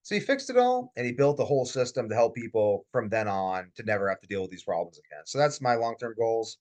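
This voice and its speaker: A man rambles through a casual webinar talk, his pitch leaping up and down as he piles on fillers. He speaks through a computer mic on a video call. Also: fast. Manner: rambling, filler-heavy, animated. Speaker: webinar host, insomnia coach.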